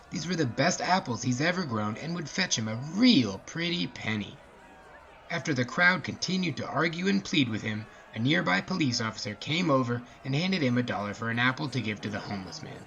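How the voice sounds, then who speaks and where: A man's voice: mid-range voice, expressive, a little fast, creaky, fairly bright tone. A man hosting a podcast and telling a scripted story in a casual narrator's style. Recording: slightly muffled, music behind. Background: music.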